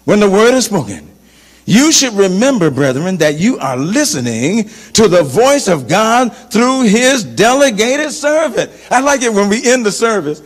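Middle-aged pastor, high-pitched, animated sermon delivery in a big reverberant sanctuary. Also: male voice, a little slow. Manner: animated, swings from loud to soft, gestures while preaching. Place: large church sanctuary, indoors. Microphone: handheld microphone. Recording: long church reverb.

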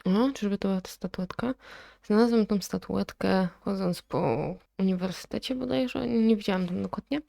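A woman giving casual reaction commentary, reading text aloud and thinking out loud in a chatty, inquisitive way. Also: mid-range voice, moderate pace, creaky. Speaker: video creator reacting to analog horror.

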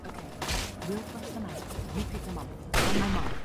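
An actress playing a no-nonsense young woman squad commander in a video game, brusque and authoritative with military briskness. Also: mid-range voice, expressive, noisy background, close to an everyday voice. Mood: commanding. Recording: game audio with music underneath.

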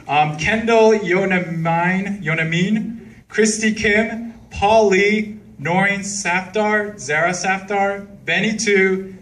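Loud chatty male emcee in a cinema hall. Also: high-pitched, slow pace. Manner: loud, chatty, apologetic asides. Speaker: event host. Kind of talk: emcee announcements at a fan event. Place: large cinema hall with audience. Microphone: handheld mic, filmed from far seats. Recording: distant voice, crowd and noise from far off.

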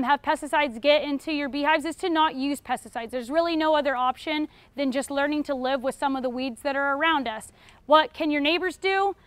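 Young American female teacher, high-pitched, informal Q&A lecture delivery, dry recording. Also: fairly bright tone, no echo. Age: young adult. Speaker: beekeeping class instructor. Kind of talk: informal lecture answering audience questions. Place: retail store aisle in front of a seated audience.

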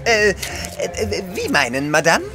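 Ein Schauspieler spielt in einem Hörspiel einen eifrigen, förmlich-höflichen Diener eines Gentlemans. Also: male voice, high voice, sing-song, slow pace, over music, exaggerated voice. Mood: puzzled, dutiful. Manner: courteous, formal. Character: eager, deferential French manservant of a gentleman. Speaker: actor in an audio drama. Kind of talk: German audio drama acting.

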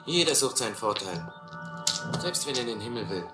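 A man acts out a streetwise young tramp for a radio play, cynical and plain-spoken. His medium-pitched voice sits in a roomy sound, with music loud behind it. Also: very animated, a little fast, close to an everyday voice. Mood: cynical, insistent. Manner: blunt, streetwise, self-justifying. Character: tough, cynical young male drifter, streetwise and scrappy. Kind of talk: German radio play acting.

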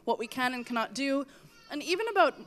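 A woman's voice: high-pitched, expressive, quick pace, a bit bright. A woman in her thirties giving a festival talk on stage with a handheld mic, losing her voice, earnest and self-correcting. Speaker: festival speaker. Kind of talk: conference talk. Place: large festival tent stage.

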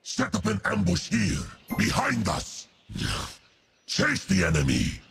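An actor playing a menacing fire demon of metal and flame in a video game, with a gravelly growl and a grandiose, contemptuous manner. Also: male voice, high-pitched, huge pitch swings, takes it slowly, a bit bright, a little hiss or hum, full character voice. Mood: commanding. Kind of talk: video game character voice lines.

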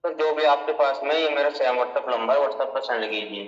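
A man's voice: medium pitch, moderate pace, far from the mic. A man having a business conversation over a phone speaker as a buyer. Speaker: coin and note buyer on a phone call. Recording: muffled phone speaker in echoey room.

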